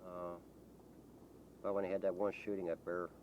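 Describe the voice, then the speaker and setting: A man chatting casually in an interview, telling local stories in a folksy, rambling, halting way. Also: sits low, flat delivery, muffled recording, slight background noise. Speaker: local resident being interviewed.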